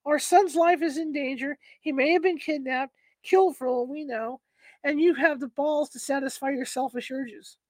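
A woman reading aloud and voicing a desperate young wife who shouts and pleads in terror for her family. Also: sits high, moderate pace, no echo, natural voice. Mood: frantic.

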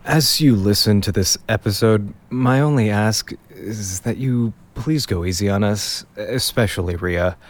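Male actor as weary young amateur investigator, low voice, casual, hesitant, wry, audio drama. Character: weary hungover young man, reluctant investigator telling the story. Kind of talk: naturalistic audio drama acting and narration.

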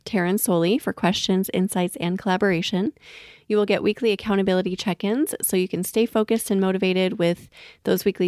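A woman's voice: medium pitch, darkish tone, no echo, studio quality. A woman working as a podcast host, moving between scripted reads and casual interview talk. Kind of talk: scripted promo and intro reading, casual interviewing.

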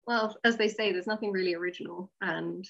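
Female writer as interview guest, mid-pitched, wry casual storyteller, slightly muffled lo-fi recording. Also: steady tone, a little fast, vocal fry. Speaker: guest, audio drama writer.

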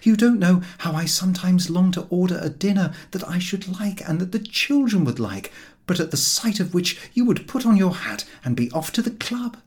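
A man voicing a frank, brisk Edwardian wife, fond yet weary of her husband, in audiobook dialogue. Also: high voice, expressive, a little mellow, slightly rough, voice pushed up. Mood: longing, exasperated.

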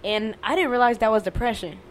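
A young woman with a fairly monotone voice, talking candidly and casually, swearing and using lots of fillers. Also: a little high, fast talker, slight background noise. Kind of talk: casual conversation.

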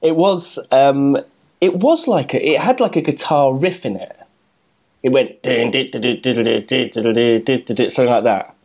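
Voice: medium pitch, normal speed, a little mellow. A podcast host chatting casually, rambling and often breaking off mid-sentence. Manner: rambling, self-interrupting, laughs often. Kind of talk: casual chat.

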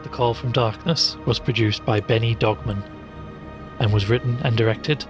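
A man's voice: mid-range voice, fairly even intonation, normal speed, over music. A man delivering scripted closing credits as an announcer for an audio drama.

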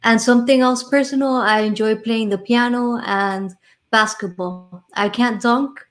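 A woman's voice: on the high side, steady tone, a little slow. Friendly woman with a Miami accent. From Miami, Florida. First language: Spanish. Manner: friendly, explanatory, frequent "right?" and "um". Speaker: insurance agent guest. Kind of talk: podcast interview.

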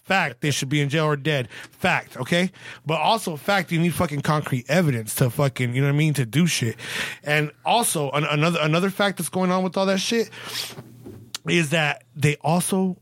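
An American man in casual podcast chat, talking haltingly with many fillers and swearing. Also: a little high, fairly bright tone. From United States. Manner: halting, full of fillers and restarts, profane.

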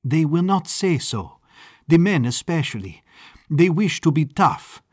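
A man voicing a young woman of a traveling caravan, who talks brightly and earnestly. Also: deep voice, very animated, unhurried, husky, dry recording, clean studio sound, pitched above the performer's own voice, heavily acted. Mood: grave. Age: 20s. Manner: bright and chatty, turning grave.

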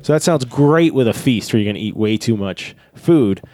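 A man's voice: medium pitch, expressive, normal speed, a little mellow, no echo. A man chatting casually and at length, nerdy and rambling, with fillers and casual swearing. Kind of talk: casual chat.

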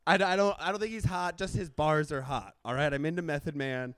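A man's voice: a little high, a little fast, fairly bright tone, dry recording. Laid-back joking young man, dry sound. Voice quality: slightly raspy. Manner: joking, rambling, laughs often. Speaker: podcast co-host and stand-up comedian. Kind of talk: casual comedic chat.

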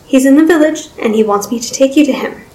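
A woman's voice: sits high, fast, darkish tone, reverberant, pitched above the performer's own voice, natural voice, rough recording. A woman voicing a wary, determined young man with a guarded, firm manner in an audiobook. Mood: coaxing. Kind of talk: audiobook character voice.

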